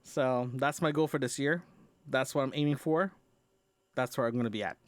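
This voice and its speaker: A man's voice: mid-range voice, normal speed, no echo. A man chatting casually in a personal audio diary, rambling and often repeating and rephrasing himself. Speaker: podcast host.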